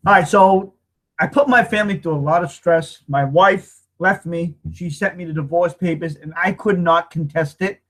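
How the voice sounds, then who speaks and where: Emotional middle-aged man, halting. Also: on the high side, a bit bright. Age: 50s. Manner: emotional, halting, repeats himself. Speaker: host telling his life story. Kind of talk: personal testimony.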